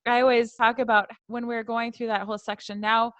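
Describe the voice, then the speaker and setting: A woman with a somewhat high voice, captured dry and free of room echo. She hosts a podcast in a casual conversational style, reacting to her guest with encouragement and support. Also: level tone, brisk, fairly bright tone, lo-fi sound. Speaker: podcast host, interviewer.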